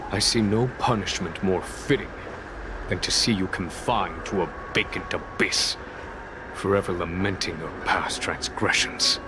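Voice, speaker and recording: A man as a slow, low-voiced composed general. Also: background noise, lightly acted. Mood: stern. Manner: measured, formal, unhurried. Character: calm, composed, wise male general. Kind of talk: video game voice acting. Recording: music under the voice.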